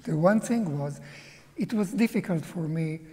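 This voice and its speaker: Man, 70s, native Hungarian speaker, from Czechoslovakia, halting reflective testimony, slow talker, auditorium reverb. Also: fairly high pitch, lively intonation, mellow. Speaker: interview guest. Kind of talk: personal testimony. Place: museum auditorium.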